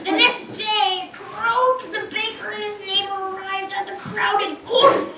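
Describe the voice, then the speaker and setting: A woman's voice: very high-pitched, level tone, measured pace, vocal fry, crowd noise. A woman narrating a scripted children's tale at a puppet show, heard from a distance in an echoey, noisy room. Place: community puppet show in a roomy venue.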